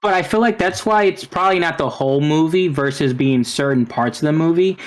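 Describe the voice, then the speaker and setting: A man's voice: a little high, brisk. A man co-hosting a casual podcast chat. He rambles and hedges, with many fillers. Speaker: podcast co-host.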